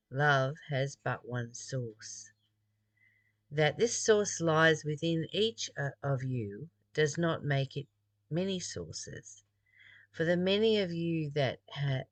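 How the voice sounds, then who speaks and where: Slow-paced reader from Australia in a dry space. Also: medium pitch, a bit bright, no echo. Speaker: host reading and commenting on a spiritual text. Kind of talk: reading aloud with personal reflections.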